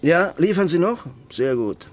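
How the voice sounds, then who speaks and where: Higher-voiced, practical robber. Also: male voice, expressive, unhurried. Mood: smooth, scheming. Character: resourceful male robber, practical schemer. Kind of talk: crime radio drama acting. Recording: faint music behind the voice.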